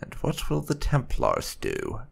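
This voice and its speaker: A man's voice: fairly low pitch, huge pitch swings, a little slow, vocal fry, slightly rough. A man hosting a let's-play, giving casual, musing commentary as he plays. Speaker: gaming channel host.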